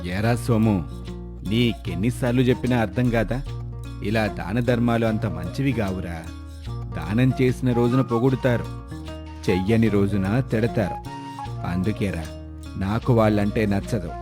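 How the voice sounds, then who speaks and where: Stingy, arrogant landlord for cartoon folktale, played by a man; low pitched, berating, music underneath. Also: a little slow, long pauses, lightly acted. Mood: scolding. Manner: haughty, berating. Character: arrogant, stingy, scolding rich male landlord. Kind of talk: moral story cartoon voice acting.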